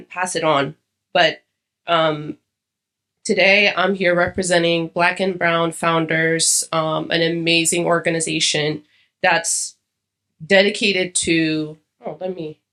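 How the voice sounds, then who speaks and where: A woman's voice: lowish voice, a bit bright. A young adult woman from Ethiopia giving a conversational conference talk, with many fillers and restarts. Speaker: conference speaker. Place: conference hall.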